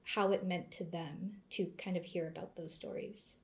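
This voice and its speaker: A young woman speaks as an interview guest. She talks thoughtfully, pausing often as she searches for her words. Her tone stays casual and conversational. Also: lowish voice, fairly even intonation, darkish tone. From Canada. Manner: thoughtful, reflective, with searching pauses. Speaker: interview guest, former seminar student.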